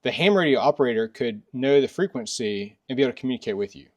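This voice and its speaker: A man's voice: medium pitch, animated, quick pace. A middle-aged man hosting a casual product review in a workshop, conversational and often restating his points. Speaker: host of a product review channel. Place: indoors in a workshop.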